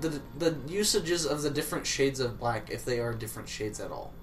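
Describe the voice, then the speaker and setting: A man's voice: medium pitch, lively intonation, a little fast, soft background music. A young man co-hosting a let's play with casual, jokey, rambling banter. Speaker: let's play co-host playing the game.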